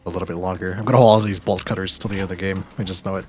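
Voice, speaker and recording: A man giving relaxed, wry gameplay commentary as a streamer, muttering asides. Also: deep voice, racing.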